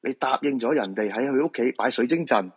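An actor with a Hong Kong Cantonese accent playing a reluctant, brusque feng shui fortune-teller in a radio drama. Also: male voice, medium pitch, a little fast, breathy edge, close to an everyday voice. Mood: wry, teasing. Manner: brusque, protesting, dismissive.

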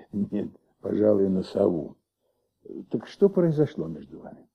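An actor in a Soviet radio play playing a patient, shrewd middle-aged police commissaire with flu, questioning gently in a husky, creaky voice. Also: male voice, lowish voice, very animated, slow, muffled recording, full character voice. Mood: gently probing. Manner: gentle, coaxing, paternal questioning.

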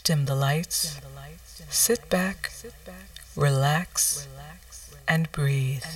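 A middle-aged woman hosting a poetry podcast with a soothing, deliberate, affirming delivery. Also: low voice. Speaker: podcast host, poet. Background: music.

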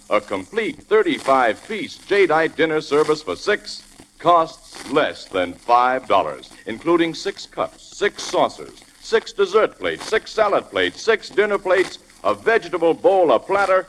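A male announcer with lively, swinging intonation delivers a polished, emphatic advertisement in 1940s radio style. The vintage recording has faint noise. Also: poor audio. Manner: polished, emphatic sales pitch. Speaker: commercial announcer.

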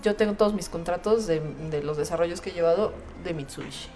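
Laughing Mexican woman over loud music. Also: on the low side, quick pace. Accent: Mexican Spanish. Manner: playful, laughing, chatty. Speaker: podcast co-host, architect. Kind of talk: casual chat.

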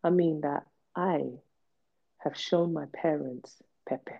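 A low-voiced woman speaks with blunt, emphatic force, repeating words to drive her point home. Her tone stays candid and personal, and the recording sounds dull and lo-fi. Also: steady tone, slow, vocal fry. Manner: emphatic, blunt, repetitive for stress. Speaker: podcast host. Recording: dull lo-fi podcast audio.

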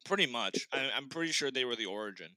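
A young man from Boston talking as a podcast guest, with a very bright, smooth, clear voice recorded dry and close. He tells stories with energy and laughs often. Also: mid-range voice, quick pace, dry recording, rough recording. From Boston, Massachusetts. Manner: animated storyteller, laughs often. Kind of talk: casual chat.

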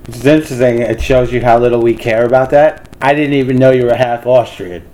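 A man chiming in with low-key, agreeable remarks in a casual group chat. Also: steady tone, a little fast, warm tone, slight background noise, low-quality recording.

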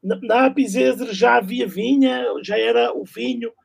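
A man's voice: very high-pitched, normal speed, creaky. A man hosting a casual interview, stammering and repeating words as he asks questions. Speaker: host.

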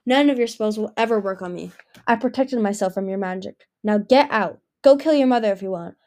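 A woman voicing a cold, menacing male dragon king who growls his lines slowly. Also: medium pitch, darkish tone, close to an everyday voice. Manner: growling, clipped, icy.

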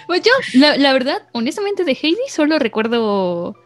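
A woman with a Mexican Spanish accent on a casual podcast, cracking slangy, deadpan jokes. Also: sits high, normal speed, soft background music. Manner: joking, deadpan punchlines, slangy. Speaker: podcast co-host. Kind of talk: casual chat.

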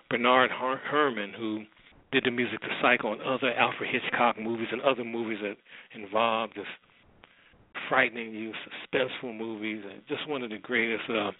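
A man's voice: mid-range voice, no echo. A male radio host talks casually and informally, circling back as he introduces old programs. The sound is very close and dry, with a narrow, phone-like band.

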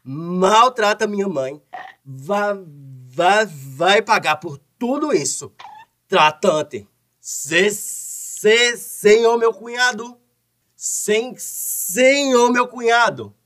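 A very slow, badly stuttering son in a radio farce. He blusters and threatens, tripping over syllables again and again. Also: high voice, a bit bright, full character voice. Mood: indignant, blustering. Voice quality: heavy stutter. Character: stuttering, indignant henpecked son, a blustering brother-in-law. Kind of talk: radio soap opera comedy acting.

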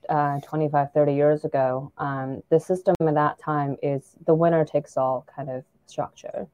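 A woman's voice: low-pitched, fairly even intonation, normal speed, mellow, clean tone, no echo. A woman in her forties from China, a startup founder talking thoughtfully as an interview guest, with many fillers and restarts. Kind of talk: casual interview conversation.

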